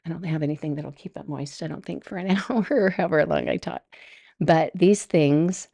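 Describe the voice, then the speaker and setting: A middle-aged woman talks through her solo podcast from home, rambling easily and laughing as she goes. Her voice sits low with a gravelly, mellow edge. The sound is close and dry. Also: normal speed, no echo. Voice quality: deep voice. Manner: chatty and rambling, laughs often. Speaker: podcast host. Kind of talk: casual solo podcast monologue. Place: home room.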